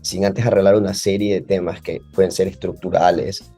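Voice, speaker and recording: Deep-voiced Ecuadorian man explaining. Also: fairly even intonation, brisk, mellow, soft background music. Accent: Ecuadorian Spanish. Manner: explanatory, uses many fillers. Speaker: guest. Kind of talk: podcast interview.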